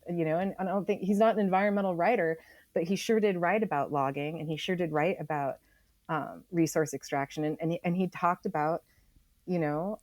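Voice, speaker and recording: A woman's voice: fairly low pitch, mellow, no room sound. A woman speaking as a guest author in a thoughtful podcast interview, often repeating words as she forms her thoughts.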